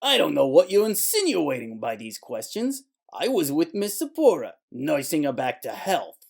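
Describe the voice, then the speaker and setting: A man's voice: fairly high pitch, wildly swooping pitch, moderate pace, gravelly, exaggerated voice. A man playing a swaggering, defensive theater playboy and pianist in a noir audio drama, fussy and indignant.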